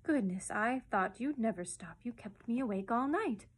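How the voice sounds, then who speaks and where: Storybook character: cantankerous old male starling, performed by a woman, shrill, high, self-important and greedy. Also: expressive, normal speed, husky. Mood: huffy. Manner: pompous, wheedling, brusque.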